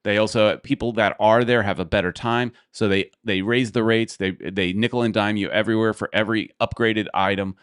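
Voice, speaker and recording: A man's voice: fairly low pitch, fairly even intonation, fairly quick, hardly any pauses, fairly bright tone, clean tone, dry recording, clean studio sound. A man hosting a podcast, chatting casually and rambling as he thinks aloud. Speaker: podcast host. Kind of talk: casual chat.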